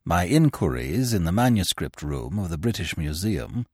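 A man reading aloud voices an injured detective, hoarse and weak, speaking with precise dry understatement. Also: deep voice, sing-song, normal speed, no room sound, voice pushed down, exaggerated voice. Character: injured, weakened man detective, clipped and determined.

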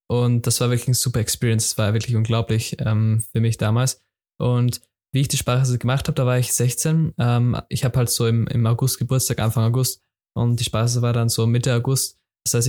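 A man's voice: medium pitch, a little mellow. A young man hosting a solo podcast, talking informally in a loose, repetitive way with plenty of fillers and restarts. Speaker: podcast host. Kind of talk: casual solo podcast talk.

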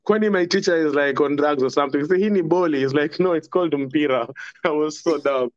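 A man's voice: a little high, a little fast, dry recording, muffled recording. A young man with a Kenyan accent, a podcast guest telling stories from school in a rambling, casual way. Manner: rambling, laughs often, mixes in Swahili. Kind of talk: casual storytelling chat.

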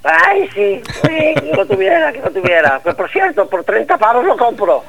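A man's voice: extremely high pitch, sing-song, normal speed, creaky, dull audio, a loud crowd, full character voice. A man voicing a rambling, foul-mouthed old caller from in a comic radio sketch. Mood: chatty. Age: older. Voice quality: rambling, stammering, blurts out swear words. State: stuffy head cold. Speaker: comedy caller character.

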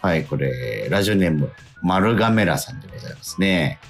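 A man hosting a radio show, chatting casually and reading out letters in a polite, animated way with frequent interjections. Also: low-pitched, moderate pace. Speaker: radio show host and actor. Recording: music playing faintly behind. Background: background music.

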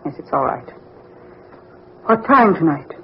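An actress playing a cold, grasping private nurse in an old radio drama. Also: female voice, sits low, sing-song, measured pace, breathy edge, exaggerated voice. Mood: cool, demanding. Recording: dull, noisy vintage radio recording.

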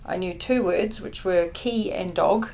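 A woman's voice: fairly low pitch, measured pace, a little mellow, faint music behind, rough recording. A middle-aged woman with a New Zealand accent, originally from the Netherlands and a native Dutch speaker, giving conversational answers as an interview guest. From born in the Netherlands, grew up in New Zealand. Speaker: podcast guest, company chief executive.

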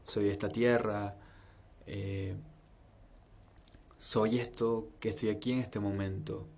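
A man's voice: fairly low pitch, flat delivery, slow pace, a little mellow. A young man from Venezuela talking earnestly and reflectively about his life, often repeating phrases and listing things in long runs. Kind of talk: reflective personal monologue.

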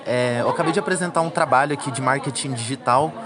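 A man's voice: medium pitch, quick pace. A young Brazilian man, a university student, answering interview questions in casual, slangy speech. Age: young adult. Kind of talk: casual chat. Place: university congress with crowd. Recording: busy event crowd behind the voice.

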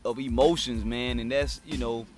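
A man's voice: mid-range voice, fairly even intonation, normal speed, soft background music. A man in his thirties co-hosting a podcast, talking casually and often repeating words as he starts sentences. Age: 30s. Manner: stammers and repeats words, calls his partner uncle. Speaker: podcast co-host.

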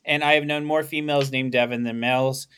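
A man's voice: mid-range voice, fairly even intonation, brisk, fairly bright tone, smooth. A young man hosting a casual podcast, rambling and joking with lots of swearing and laughter. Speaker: podcast host. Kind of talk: casual comedic podcast banter.

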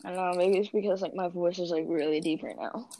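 A young woman co-hosting a casual chat podcast, answering in a low-key, brief way. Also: steady tone, fast, mellow, no room sound. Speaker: podcast co-host. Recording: dull, dry sound.

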